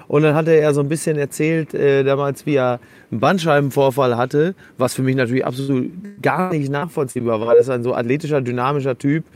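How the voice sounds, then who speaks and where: A man's voice: medium pitch, fairly even intonation, a little fast, darkish tone. A man co-hosting a casual, humorous football podcast, telling anecdotes in a joking, sarcastic way. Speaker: podcast co-host.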